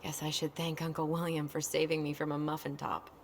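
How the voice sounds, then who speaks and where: Game role: quietly sardonic young sibling, low voice, noisy distant capture. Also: female voice, level tone, brisk, gravelly, roomy sound, in a large hall. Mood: wry. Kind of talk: naturalistic video game acting.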